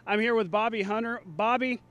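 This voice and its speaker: A man's voice: high voice, a little fast, fairly bright tone. A man anchoring a live sportscast in a conversational, jokey style.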